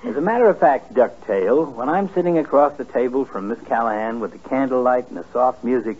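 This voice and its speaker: A man's voice: mid-range voice, lively intonation, brisk, breathy edge. An American man acting a jovial, lovestruck middle-aged bachelor doctor in a 1950s radio comedy, courtly, teasing and effusive. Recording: muffled, band-limited old radio recording.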